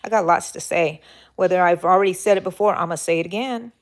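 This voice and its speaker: A middle-aged woman with a Minnesota accent hosting a live crochet stream from home, rambling warmly and greeting viewers by name. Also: fairly low pitch, fast. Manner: rambling, effusive, reads out chat names. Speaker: crochet livestream host. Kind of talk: chatty live stream talk.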